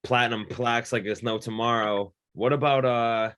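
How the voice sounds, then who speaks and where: A man's voice: mid-range voice, steady tone, moderate pace, fairly bright tone, clear, resonant tone. A man hosting a producer interview show, talking casually with hype and lots of affirming thanks to his guest. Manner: hype, affirming, grateful host talk. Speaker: interview host.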